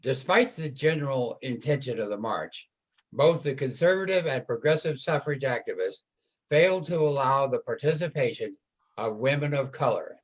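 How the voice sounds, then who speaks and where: Guy narrating history from a script, mid pitch, measured explainer style. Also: expressive, poor audio.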